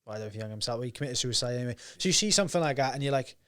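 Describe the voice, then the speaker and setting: A man's voice: mid-range voice, lively intonation, very fast, fairly bright tone. A young man hosting a podcast, chatting casually and agreeably, often ending with tag questions. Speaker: podcast host. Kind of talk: casual interview chat.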